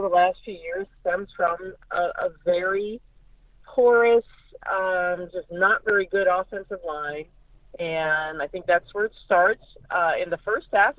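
A woman's voice: mid-range voice, no echo. A thin, phone-like line carries an American woman talking sports as a guest analyst. She is emphatic and opinionated, repeating words as she makes her case, in a mid-range voice with a dry sound. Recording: phone-like narrow-band radio sound.

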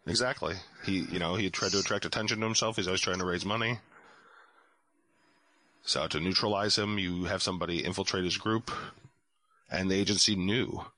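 A man's voice: low voice, steady tone, brisk, sharp tone, no room sound. A man hosting a podcast discussion in an analytical, explanatory way, going through documents. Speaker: podcast host.

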